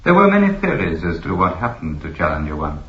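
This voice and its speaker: A deep-voiced, imperious male keeper of ancient records, speaking with formal authority and sweeping intonation. It is a science fiction radio drama in an echoey space. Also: huge pitch swings, quick pace, lo-fi sound, background noise. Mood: grave, firm. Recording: echoey, band-limited old radio recording.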